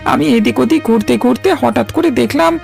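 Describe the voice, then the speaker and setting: A woman voicing a humble, anxious servant goatherd in a cartoon story, pleading and deferential in a gravelly voice. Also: fairly high pitch, moderate pace, a little mellow, faint music behind. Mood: anxious, apologetic. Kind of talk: animated story acting.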